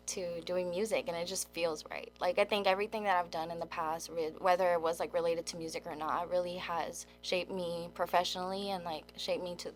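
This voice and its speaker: A woman's voice: on the low side, a little hiss or hum. A woman in her twenties who grew up in Germany and South Korea, chatting informally as an interview guest with lots of filler "like". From Germany and South Korea, military child. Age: 20s. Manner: informal, heavy use of "like". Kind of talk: casual chat.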